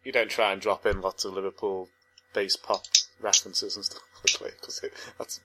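Man, interviewer, bright tone, easygoing, faint background noise. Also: low-pitched, little pitch movement, moderate pace, low-quality recording. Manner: easygoing, laughs along. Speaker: podcast host, interviewer. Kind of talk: casual interview questions.

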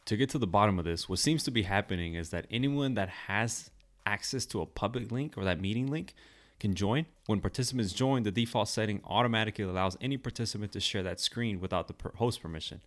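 A man co-hosting a casual tech news podcast, explaining news items in a steady way and laughing easily with his co-host. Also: fairly low pitch, fast talker.

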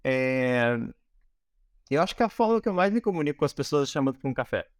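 Brazilian male interview guest, mid-pitched, conversational explainer with frequent tag questions, close dry recording. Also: sing-song, fast, no echo. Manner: explanatory, often seeking agreement with tag questions. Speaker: interview guest, product design manager.

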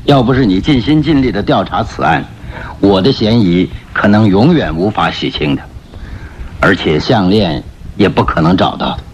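An actor playing an emotional elderly aristocrat and tender father in a radio drama, speaking in a halting, heartfelt way. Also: on the low side, lively intonation, slight background noise, natural voice. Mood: grateful. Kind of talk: Mandarin radio drama acting. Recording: dull recording under loud music.

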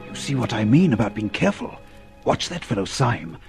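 An actor playing a cynical, cautioning inner voice in a radio drama, insinuating and emphatic. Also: male voice, lowish voice, sing-song, brisk, gravelly, music in the background. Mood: wary, sardonic.

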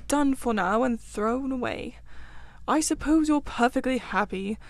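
Woman playing a grumpy male mole, storybook character, plaintive, pettish, higher voice, close dry sound. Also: expressive, measured pace, no echo. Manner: plaintive, pettish, stubbornly matter-of-fact.

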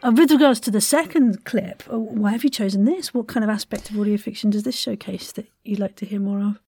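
A woman's voice: medium pitch, brisk, dark tone. A woman presenting and interviewing as an upbeat podcast host.

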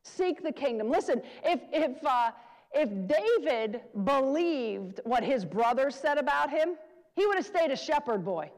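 A woman's voice: sits high, lively intonation, unhurried, darkish tone. A woman preacher giving a sermon, urging her listeners and repeating key phrases for emphasis. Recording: long hall reverb.

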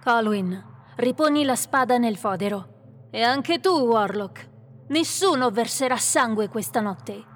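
A woman's voice: on the high side, animated, measured pace. A woman playing a composed, authoritative companion. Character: calm female companion and healer, leader. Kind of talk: Italian radio drama acting.

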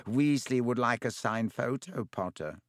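A cocky teenage bully drawls his taunts slowly and scathingly, as a man puts him on for an audiobook. The sneer never leaves his voice. The recording is close and dry. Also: medium pitch, no room sound, lightly acted. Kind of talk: audiobook character voice.

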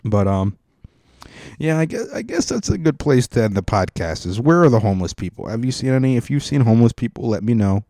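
A man's voice: medium pitch, very animated, fairly quick, gravelly, dark tone, dry recording, clean studio sound. A young adult man hosting a podcast, rambling and joking with casual profanity. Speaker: podcast host. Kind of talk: casual comic podcast monologue.

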